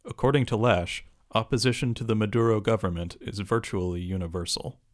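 Measured low-voiced male news reader. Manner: measured, even news read. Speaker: radio news presenter. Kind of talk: scripted news narration.

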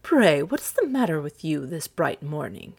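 A woman's voice: deep voice, big pitch swings, moderate pace, gravelly, a little mellow, slightly rough, exaggerated voice. A woman voicing a doting storybook king and father, speaking in a coaxing, old-fashioned, grand way. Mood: cheerful concern.

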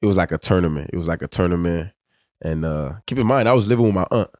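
Young male guest from Togo, very deep, casual and slangy, dry close recording. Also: expressive, quick pace, no echo. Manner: laid-back, slangy, lots of "you know", trails off quietly. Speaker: guest, soccer player. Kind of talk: casual interview.

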